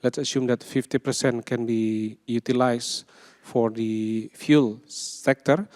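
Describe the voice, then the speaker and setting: A man with a flat, mellow voice works through his points on a conference panel. His words ring with a long reverb from a large hall. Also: medium pitch, takes it slowly.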